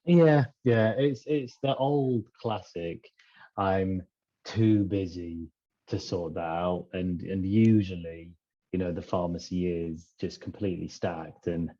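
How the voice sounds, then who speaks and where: A man talking through ideas in a conversational podcast discussion, in a halting, thinking-aloud manner. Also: low voice, fairly even intonation, warm tone, lo-fi sound. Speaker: podcast regular discussing pharmacy business.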